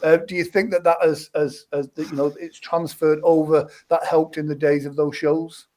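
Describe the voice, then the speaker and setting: A man hosting an interview, his voice sitting a little high. He shapes his questions loosely, restarting and filling gaps as he talks. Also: little pitch movement, normal speed, mellow. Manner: rambling, with many restarts and fillers. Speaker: interview host.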